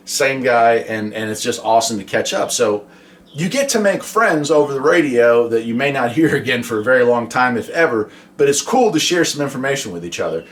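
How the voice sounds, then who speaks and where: A man's voice: medium pitch, a little hiss or hum. Chatty, folksy man from the United States. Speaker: hobby vlogger and CB radio operator. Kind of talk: casual explainer talk with radio call demonstrations.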